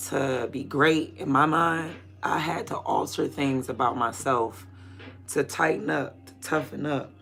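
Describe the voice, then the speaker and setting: A woman in her thirties with a naturally raspy voice, chatting candidly and rambling on a casual livestream. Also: extremely low pitch. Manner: candid, rambling, drifts between loud and murmured asides.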